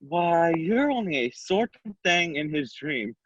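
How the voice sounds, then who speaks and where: A woman's voice: lowish voice, very animated, unhurried, slightly rough, no room sound, muffled recording, exaggerated voice. A woman playing a sly, mad, grinning cat in a dramatic reading of a children's classic, with a teasing, leisurely manner.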